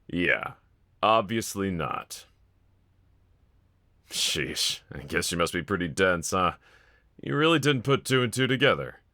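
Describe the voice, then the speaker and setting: A man's voice: sits low, expressive, unhurried, pauses often, bright, forceful tone, no room sound, clean studio sound, full character voice. A man voicing a wanted young male villain in a fan audio roleplay, talking to one listener in a casual, sardonic, teasing drawl. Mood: incredulous, sardonic.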